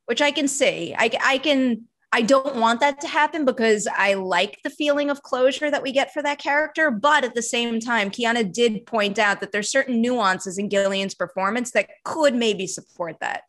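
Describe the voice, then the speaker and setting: An American woman in her thirties with a slightly high voice hosts a casual entertainment interview. She is chatty and enthusiastic, speaking close to a desktop microphone in a small room. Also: moderate pace, hardly any pauses, a bit bright. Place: small home room, video call. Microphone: large desktop microphone, close.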